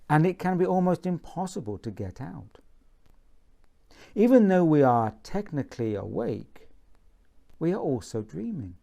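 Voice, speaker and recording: A man reading from his own book in a measured bedtime-story style, deliberate and pausing between phrases. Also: on the high side, creaky, warm tone.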